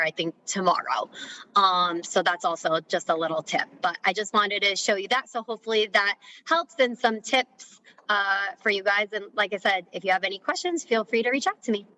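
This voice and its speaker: A woman's voice: medium pitch, bright, forceful tone, rough recording. A woman recruiter giving practical job search tips on a video call, direct and matter-of-fact.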